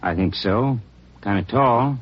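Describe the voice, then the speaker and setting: An actor playing a chatty, eager-to-please hotel manager who rambles in a folksy way, on a muffled vintage radio broadcast. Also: male voice, fairly low pitch, slow, husky. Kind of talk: 1950s radio drama acting.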